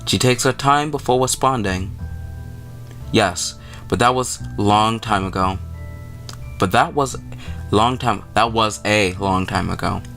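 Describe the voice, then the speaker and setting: A man's voice: lowish voice, measured pace, creaky, long pauses, fairly bright tone. A man hosting a gaming video, reading visual novel text aloud and reacting in informal, slangy speech. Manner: informal slangy commentary, stumbles while reading. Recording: music playing behind the voice.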